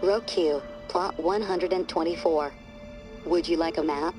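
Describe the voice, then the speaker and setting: A woman's voice: fairly low pitch, fairly even intonation, a little slow, over music, natural voice. A woman voicing a polite, impersonal automated cemetery announcer in an audio drama. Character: automated cemetery announcer, courteous female machine.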